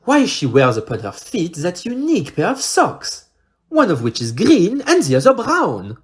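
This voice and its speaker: A performer playing a courteous, shrewd Belgian male detective with a French-speaking Belgian accent, husky voice and formal, theatrical manner in an audio play. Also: medium pitch, huge pitch swings, moderate pace. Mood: pensive, shrewd. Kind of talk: amateur audio play acting.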